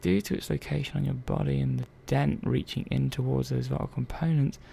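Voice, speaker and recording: A man playing a curious, gently chatty robot repair technician in a soft-spoken ASMR roleplay, murmuring and thinking aloud in an unhurried, hesitant way. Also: mid-range voice, steady tone, gravelly. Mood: gently absorbed. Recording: faint background noise.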